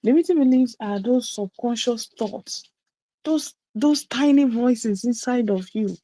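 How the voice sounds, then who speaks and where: A woman's voice: a little high, measured pace, mellow, no echo, low-quality recording. A woman coaching a group through a motivational teaching session, speaking emphatically and repeating key phrases for stress. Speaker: visibility coach.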